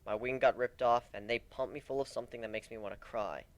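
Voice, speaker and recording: A man's voice: medium pitch, steady tone, fast talker, no room sound. A man reading scripted first-person story narration in a dramatic, brooding manner.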